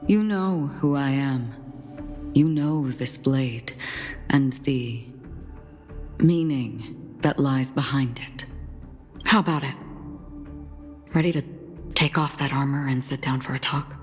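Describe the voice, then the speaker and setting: Casting: composed, cryptic game swordswoman, low register, deliberate delivery, music underneath. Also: a little mellow. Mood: calm, knowing. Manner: measured, cryptic, philosophical. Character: solemn, composed woman swordswoman with failing memory. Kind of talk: video game voice acting. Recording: long reverb, music behind the voice.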